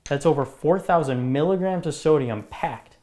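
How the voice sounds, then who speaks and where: A man narrating a student television news report in a clear, even delivery. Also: a little high, moderate pace, background noise.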